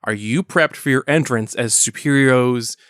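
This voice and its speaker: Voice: mid-range voice, a little slow, smooth, no room sound, studio quality, close to an everyday voice. An actor playing a frazzled, businesslike stage director in an audio drama, blunt and exasperated in delivery. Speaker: director of a musical in a story. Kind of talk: naturalistic audio drama acting.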